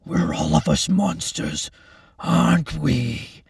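A man's voice: fairly high pitch, very animated, slow pace, vocal fry, darkish tone, husky, no room sound, clean studio sound, distant mic, heavily acted. A man voicing a brutal, hulking male orderly with a thick voice who talks in a gloating, leering way.

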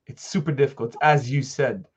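A man's voice: mid-range voice, moderate pace, breathy edge, highs cut off. A man hosting an interview, talking casually with frequent fillers and restarts. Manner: relaxed, rambling with restarts and fillers.

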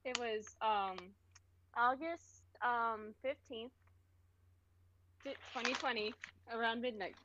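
High-voiced woman on a dull, noisy mic. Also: slow, long pauses, pushed and bright. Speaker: podcast co-host. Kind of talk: casual chat among friends.

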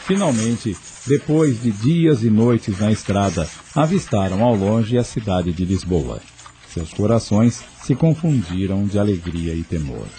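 A man narrating a scripted radio drama in a measured, solemn storytelling style. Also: lowish voice, lively intonation, noisy background.

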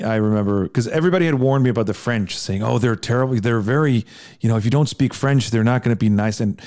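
Songwriter, relaxed interview talk. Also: male voice, on the low side. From Iceland. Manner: relaxed, thoughtful, with frequent restarts. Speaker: songwriter guest. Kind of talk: casual interview chat.

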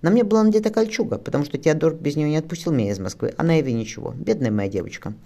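A man's voice: fairly high pitch, expressive, racing, gravelly, mellow, voice pushed up. A man voicing a lonely, wistful young nobleman in a historical novel, speaking in a rueful, earnest way. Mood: grieving, rueful.

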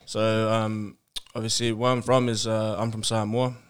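A man's voice: fairly low pitch, little pitch movement, moderate pace, clear, resonant tone. A man from Melbourne with an Australian accent, chatting casually as an interview guest in a laid-back, hesitant, colloquial way. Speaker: podcast guest, rugby player.

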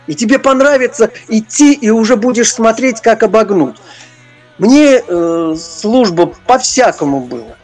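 An older man reminiscing in an interview, speaking haltingly and searching for his words. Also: high voice, expressive, darkish tone, faint music behind. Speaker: interview guest, retired prison-service officer and former stage performer.

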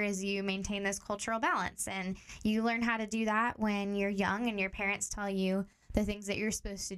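Young woman from Tanzania, podcast guest, steady teacher-like explainer, mid pitch. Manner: calm, steady explaining with clear examples. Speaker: guest, director of training.

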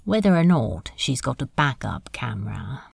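Casting: laid-back demon man played by a woman, deep and gravelly, casual drawl, secretly sentimental, for audio fiction. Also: big pitch swings, normal speed, darkish tone, no echo, studio quality, full character voice. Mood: sheepish. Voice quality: low, gravelly, husky. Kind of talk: fan audio drama acting.

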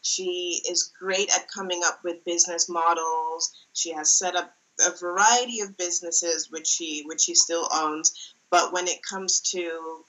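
A young woman from the Netherlands speaking thoughtfully as an interview guest, with frequent pauses and restarts. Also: fairly low pitch, steady tone, fairly bright tone, rough recording. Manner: thoughtful, many fillers and restarts. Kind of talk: casual interview talk.

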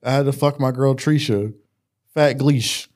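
A man's voice: mid-range voice, a little slow. A man trading crude, joking banter as a podcast co-host, from the United States. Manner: animated, joking, jumps into mock voices.

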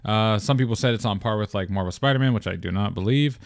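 A young man hosting his own podcast alone, with a deep morning voice, talking in a rambling, relaxed way with lots of fillers and restarts. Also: fast, creaky, no room sound, studio quality. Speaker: podcast host. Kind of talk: casual solo podcast talk.

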